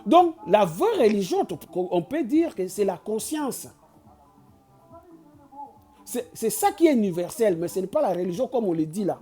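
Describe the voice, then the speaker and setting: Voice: medium pitch, sing-song, moderate pace, dark tone, soft background music. A host from Kinshasa with a Congolese accent, giving an impassioned, preachy address that switches between Lingala and French and often stumbles and restarts mid-sentence. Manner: preachy and urging, with frequent restarts and stammers. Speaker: channel host.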